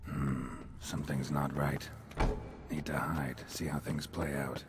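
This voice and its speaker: An actor playing a gruff, curt monster hunter who speaks dryly. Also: male voice, a bass voice, flat delivery, measured pace. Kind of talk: video game voice acting.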